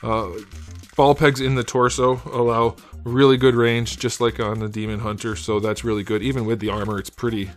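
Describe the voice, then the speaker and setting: Man, hoarse scratchy voice, relaxed toy review, faint music underneath. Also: medium pitch, moderate pace. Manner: relaxed, thinking aloud with fillers. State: hoarse, losing his voice. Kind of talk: casual product review.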